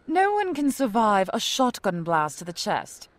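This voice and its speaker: An actress playing a feisty, skeptical, indignant woman in an audio drama, sharp-tongued and sarcastic. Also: medium pitch, huge pitch swings, measured pace. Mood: incredulous.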